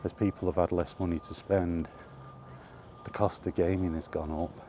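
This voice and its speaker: A man's voice: deep voice, steady tone, normal speed, dark tone, slight background noise. A man rambling casually in a weary, grumbling way, as in a freewheeling solo vlog. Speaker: vlogger. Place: walking while talking, ambient noise behind.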